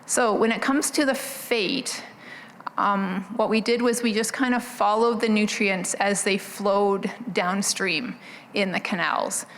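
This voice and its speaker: Soft-spoken woman presenting in a hall. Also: mid-range voice, slow pace, slight background noise. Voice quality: quiet voice. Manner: soft-spoken, conversational, frequent ums and restarts. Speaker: conference presenter. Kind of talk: conference presentation. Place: conference meeting room, indoors. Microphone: podium mic on a stand. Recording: reverberant conference hall.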